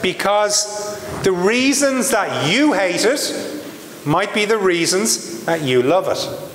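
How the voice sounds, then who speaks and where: A man from Ireland with an Irish accent, giving a lively live sales presentation to an audience with chatty asides. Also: high voice, slow pace, a little hiss or hum. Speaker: brand ambassador presenter. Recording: echoey hall from far off.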